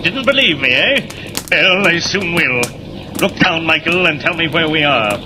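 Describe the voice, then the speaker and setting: An actor playing a menacing, coldly polite criminal mastermind in the style of a 1940s radio serial, commanding and gloating. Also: male voice, on the high side, expressive, a little slow. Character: menacing, smooth-talking criminal mastermind, the Yellow Mask. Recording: noisy old radio recording with music.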